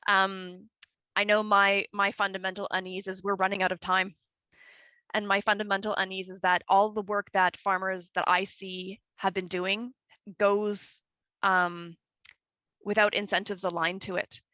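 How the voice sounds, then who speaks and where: A woman's voice: mid-range voice, little pitch movement, a little slow, pushed and bright, no room sound, lo-fi sound. A woman from Canada with a Canadian accent, answering a conference question with passion over a remote call. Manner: passionate, emphatic, repeats words when starting. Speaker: guest speaker. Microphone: remote video call.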